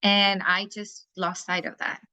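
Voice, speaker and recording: Frank Chilean woman, bright dry voice. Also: mid-range voice, flat delivery, a little slow, dry recording. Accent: Chilean Spanish. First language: Spanish. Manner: candid, frank and outspoken. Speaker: guest, life coach. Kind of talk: casual interview conversation.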